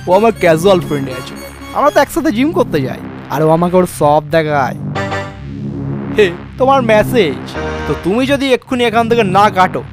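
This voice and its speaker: A man's voice: sits high, big pitch swings, brisk. Man as a young male snake, cheeky, easygoing, slangy cartoon banter, prominent music behind. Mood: mocking. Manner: chatty, teasing, slangy. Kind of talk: comic cartoon dialogue.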